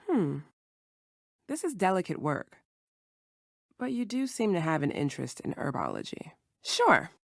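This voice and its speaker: Herb shop mentor, patient, careful, slightly low voice, dry studio game dialogue. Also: female voice, wildly swooping pitch, a little slow, vocal fry, long pauses, dry recording, full character voice. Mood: welcoming, measured. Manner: instructive, gentle. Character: calm female herbal shopkeeper, patient, careful mentor.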